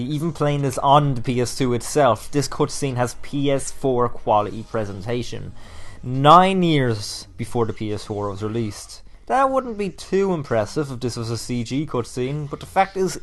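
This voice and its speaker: Guy hosting a top ten countdown video, mid-pitched voice, enthusiastic scripted narration, punches his words. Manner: emphatic, casually profane.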